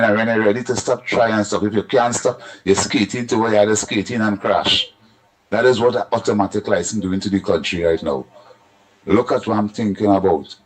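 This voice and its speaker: A man's voice: on the low side, rough recording. A Trinidadian man leaving a voice note for a call-in show, ranting in an emphatic, repetitive, insistent way. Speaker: caller in a voice note. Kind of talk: call-in rant.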